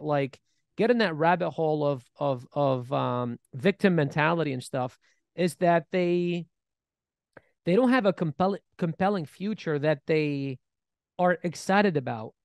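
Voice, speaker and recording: Man, 30s, podcast co-host, gravelly, animated, studio mic. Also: fairly high pitch, normal speed, no echo. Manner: animated, hand-gesturing, many restarts. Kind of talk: casual podcast chat. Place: bright studio room, seated in armchair. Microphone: boom-mounted studio mic.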